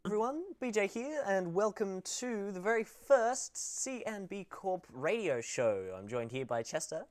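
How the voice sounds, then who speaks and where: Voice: medium pitch, sing-song, measured pace, a little mellow. A teenager introducing an amateur online radio show as its host, chatting informally. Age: teens. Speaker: podcast co-host.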